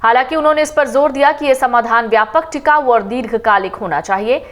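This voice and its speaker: A woman reading a scripted news report in a steady, formal manner. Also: on the high side, fairly quick. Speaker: news reader.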